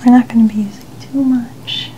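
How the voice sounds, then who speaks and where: A woman gently whispering in a breathy voice, reassuring as she narrates an ASMR personal-care role-play. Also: on the high side, fairly even intonation, normal speed, slight background noise, low-quality recording. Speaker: ASMR makeup artist.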